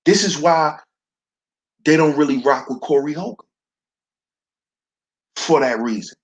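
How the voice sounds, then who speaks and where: Slow, husky baritone man preaching. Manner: preachy, addresses listeners as brothers, repeats "see". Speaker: commentary channel host. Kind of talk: slow commentary monologue to viewers.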